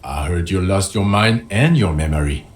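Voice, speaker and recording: A man's voice: low-pitched, expressive, normal speed. A man playing a bitter, exhausted police partner in a video game, blunt and heavily sarcastic. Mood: bitter sarcasm. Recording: music behind the voice.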